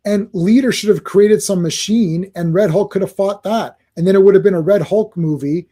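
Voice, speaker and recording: A man co-hosting a casual podcast, talking in an animated, rambling way with many restarts. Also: high voice, moderate pace. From Croatian family, born locally. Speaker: podcast co-host. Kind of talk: casual chat.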